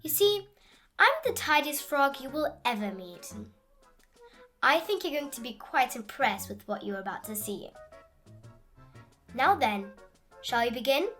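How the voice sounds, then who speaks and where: Woman voicing a high, bright, fussy little frog. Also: expressive, unhurried, pauses often, faint music behind. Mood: proud, prim. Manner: theatrical, exclamatory, scolding. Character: fussy, dramatic, tidy male cartoon frog, easily panicked. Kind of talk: animated children's storybook read-aloud.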